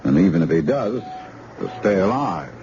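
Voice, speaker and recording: An actor playing a brisk, matter-of-fact surgeon in an old-time radio drama. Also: male voice, deep voice, big pitch swings. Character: calm male surgeon, brisk and assured. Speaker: doctor. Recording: hissy, muffled old radio recording.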